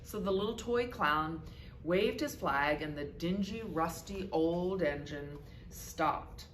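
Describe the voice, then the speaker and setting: A woman's voice: on the low side, takes it slowly. A woman reading a picture book aloud expressively for children as a volunteer storyteller. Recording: echoey room with background noise.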